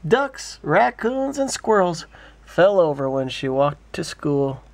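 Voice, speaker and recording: A man reading a children's bedtime story aloud as a father, in an expressive, playful way. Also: a little high, slow pace, vocal fry.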